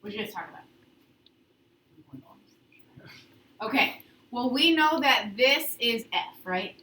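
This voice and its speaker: A woman teaching high school calculus to a class, instructive, often checking understanding with short questions and prompts. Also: animated, slow pace, bright, forceful tone. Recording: echoey classroom, distant mic, faint noise.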